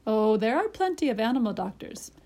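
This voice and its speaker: A woman's voice: fairly high pitch, big pitch swings, brisk, darkish tone. A woman voicing a mild, kindly male doctor who speaks politely and with curiosity. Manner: polite, inquisitive.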